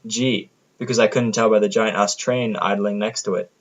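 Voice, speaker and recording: A man's voice: lowish voice, steady tone, moderate pace, highs cut off. A man narrating a first-person audio drama from a script, with a sardonic manner.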